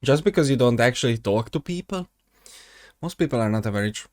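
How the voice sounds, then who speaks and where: A man's voice: medium pitch, expressive, a little fast, a little mellow, dry recording. A young man hosting a reaction video, sarcastic and rambling, his voice jumping up when exasperated. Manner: rambling with many fillers and restarts. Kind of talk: mocking reaction commentary.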